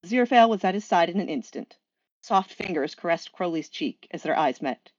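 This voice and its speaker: Woman, story narration, lowish pitch, dry but dull recording. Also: expressive, a little fast, dry recording. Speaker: fan fiction reader.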